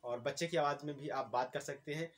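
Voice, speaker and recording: A man's voice: on the high side, brisk. A man, presenting like a tech channel host in a chatty, instructional way. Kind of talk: presenter-style app tutorial to viewers. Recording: clearly noisy amateur recording.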